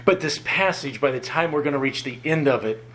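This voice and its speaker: A man preaching a sermon, earnest and often chuckling, his voice lower than usual after an illness and halting when he ad-libs. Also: lively intonation, fairly bright tone. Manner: earnest, chuckles often, rises in pitch for emphasis; halting, repeats words when ad-libbing.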